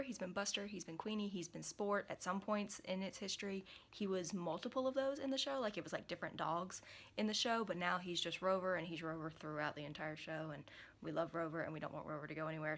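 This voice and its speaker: Young woman with a cold, husky raspy voice, chatty vlog in a small room, lots of ums. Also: lowish voice, a bit bright. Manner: chatty, rambling with fillers and restarts. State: hoarse from a cold. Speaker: vlogger. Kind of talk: casual talk to camera.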